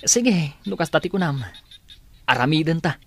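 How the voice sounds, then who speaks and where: A man's voice: on the high side, lively intonation, moderate pace, a bit bright. A man with an Ilocano accent playing an impatient young petty thief in a radio drama. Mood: eager.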